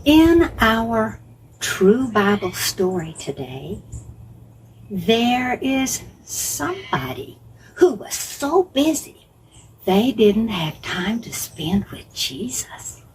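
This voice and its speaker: A woman's voice: a little high, sing-song, very slow, rough recording. A woman telling Bible stories to young children in simple phrases, repeating words for emphasis and putting questions to listeners. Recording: faint background noise.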